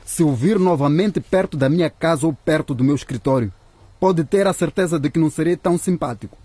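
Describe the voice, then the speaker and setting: A man acting a stern, cold businessman in a radio drama, speaking with deliberate threat and a husky voice. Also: a little high, dark tone, no room sound. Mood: stern menace. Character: menacing businessman, cold, impatient, paying off a blackmailer.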